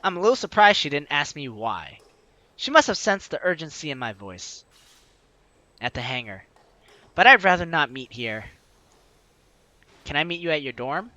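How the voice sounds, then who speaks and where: A man's voice: a little high, big pitch swings, moderate pace, pauses often, pushed and bright, dry recording. A man hosting a let's play, expressively reading a story game's text aloud and reacting with enthusiasm. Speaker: gaming video host.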